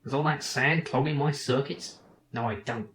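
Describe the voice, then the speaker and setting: A bitter little robot sidekick in a game, sarcastic and grumbling, with a man putting the voice on. The delivery is dry and sulky at a middle pitch. A faint hiss sits under the voice. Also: normal speed, a bit bright, natural voice. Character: snarky sulking male robot sidekick. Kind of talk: reading game lines aloud in character.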